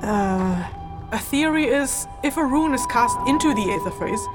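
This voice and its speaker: A woman playing an earnest, cautious scholar of magic in a fantasy audio drama, explaining things in a measured way. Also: on the high side, lively intonation, close to an everyday voice. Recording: music behind the voice.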